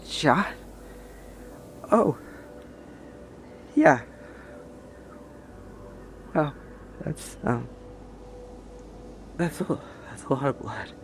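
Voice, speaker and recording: An actor playing a badly wounded doctor, dazed and halting, stammering in a breathy, gravelly voice in an audio drama. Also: male voice, on the high side, big pitch swings, slight background noise, heavily acted. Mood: dazed, weak. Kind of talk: anime-style audio roleplay acting.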